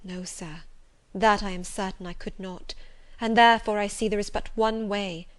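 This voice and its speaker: Woman reading a quiet young governess, firm, measured, soft-spoken, audiobook. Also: medium pitch, steady tone, close to an everyday voice.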